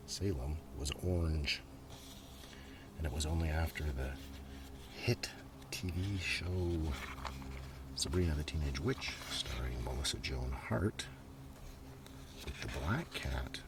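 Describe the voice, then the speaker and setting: Male ASMR host, very low and slow, soft rambling show-and-tell with whispered asides, outdoor setting. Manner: unhurried, muttering asides, often drifting into whisper. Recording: noisy outdoor recording, breeze and handling sounds. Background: steady ambient noise, breeze, writing and page sounds.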